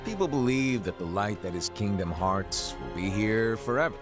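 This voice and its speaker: A man performs a mysterious master from an animated game. He teases breezily in a lowish, very animated voice and treats weighty lore flippantly. Music plays clearly behind him. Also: normal speed, natural voice. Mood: breezy, knowing. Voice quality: low-pitched. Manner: breezy, playful, teasing. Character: flippant, cryptic male master of magical apprentices. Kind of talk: animated game cutscene acting.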